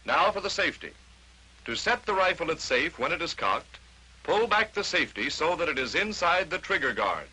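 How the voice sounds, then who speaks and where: Sharp, bright 1940s American film narrator, male. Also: fairly high pitch, sing-song, normal speed, poor audio. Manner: clear step-by-step explaining, declarative. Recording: raspy, sharp vintage 1940s film soundtrack.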